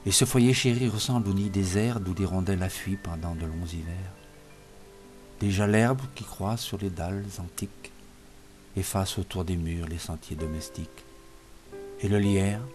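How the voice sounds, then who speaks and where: Low-pitched man solemnly reciting poetry over music. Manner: measured phrases falling softer at line ends. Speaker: poetry reader. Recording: music playing behind the voice.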